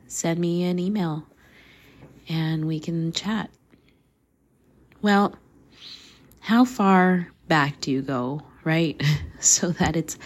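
A woman in her thirties, hoarse from a flu, hosting a faith podcast in an earnest, casual way, laughing easily and dropping to a soft near-whisper. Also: on the low side. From Canada. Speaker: podcast host.

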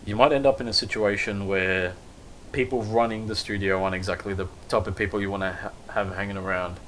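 A man with a lowish voice talks through a news recap in a loose, rambling way, leaning on fillers. A faint hum of background noise sits under him. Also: fairly even intonation, brisk, a bit bright, poor audio. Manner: rambling, many fillers like basically and kind of. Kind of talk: casual news commentary.

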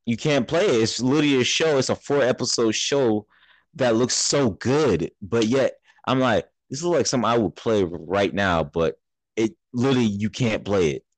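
A man's voice: medium pitch, expressive, normal speed, low-quality recording. A young man hosting a podcast, chatting casually and rambling, often restarting his sentences. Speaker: podcast host. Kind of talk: casual chat.

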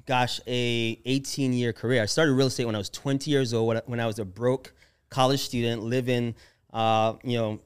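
Smooth-voiced man in his thirties. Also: mid-range voice, moderate pace. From Jamaica. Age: 30s. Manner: animated, laughs often, expressive storyteller. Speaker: guest, real estate investor. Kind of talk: casual interview storytelling.

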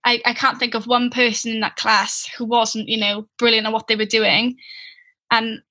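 A woman's voice: fairly high pitch, level tone, normal speed, bright, forceful tone. A young woman from Llanelli with a Welsh accent, chatting and asking questions in an enthusiastic way. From Wales. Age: young adult. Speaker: interviewer. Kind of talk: casual chat.

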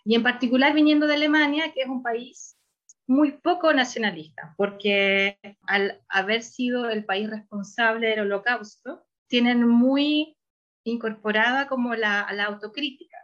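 A woman's voice: fairly high pitch, fairly even intonation, a little slow, a bit bright, muffled recording. A woman, a researcher answering interview questions in a thoughtful, self-correcting way. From Chile. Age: 40s. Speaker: researcher guest.

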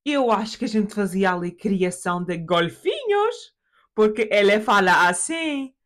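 An elderly German father, stubborn and full of schemes, acted out by a woman in a raised voice. The speech is halting and foreign-sounding, in an informal storytelling style. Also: a little high, sing-song, voice pushed up. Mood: insistent. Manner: foreign-accented, halting Portuguese.

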